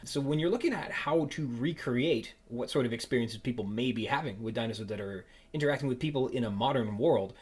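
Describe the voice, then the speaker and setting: Husky-voiced man hosting a podcast. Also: medium pitch, fairly quick, hardly any pauses, darkish tone. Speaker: podcast host. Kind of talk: conversational podcast interview.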